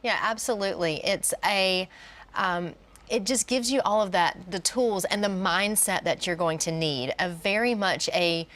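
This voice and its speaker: A woman's voice: mid-range voice, a little slow, fairly bright tone. A woman speaking as a college business educator in an interview, explaining in an encouraging way.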